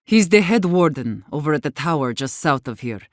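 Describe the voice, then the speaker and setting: Blunt, low-voiced outcast warden leader. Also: female voice, lively intonation, a little fast, dry recording, studio quality. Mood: wary, conciliatory. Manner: blunt, commanding, swearing. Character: defiant, blunt, battle-hardened woman leading exiled outcast wardens. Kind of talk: video game character dialogue.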